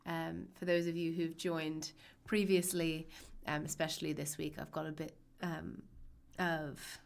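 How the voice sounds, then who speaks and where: A young woman with a cold leads an online lesson, her lowish voice raspy and hoarse. She explains things in a chatty, reassuring way and fills her pauses with ums. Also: level tone. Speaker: literature teacher. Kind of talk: live-streamed online lesson.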